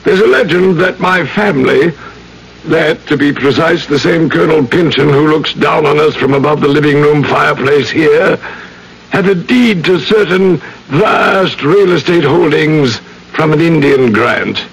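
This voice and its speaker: An actor playing a haughty, scheming heir in a radio drama, with a formal, curt way of talking. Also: male voice, on the high side, measured pace, gravelly, breathy edge, full character voice, rough recording. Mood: calculating. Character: haughty, scheming male heir of a landed family.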